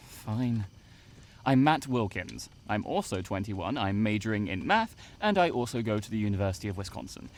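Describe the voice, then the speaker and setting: A man's voice: on the low side, very animated, normal speed. An actor playing a grumpy, reluctant 21-year-old male student in an audio drama, dry and exasperated.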